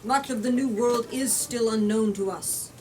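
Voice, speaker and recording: A woman reading aloud the part of an earnest male statesman who pleads in solemn, deliberate storybook oratory. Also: on the high side, a little mellow, close to an everyday voice. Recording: distant mic with background noise.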